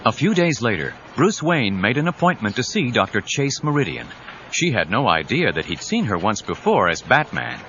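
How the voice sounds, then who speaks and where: A man's voice: medium pitch, very animated, normal speed, a bit bright, slight background noise. A man narrating an audio drama in an emphatic, suspenseful storytelling style. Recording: dull 1990s cassette audio with music.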